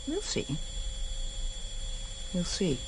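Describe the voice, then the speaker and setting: An actress playing a prim, cautious, secretive woman in a British radio drama. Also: drawn-out words. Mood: flustered, scolding. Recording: noisy vintage radio recording.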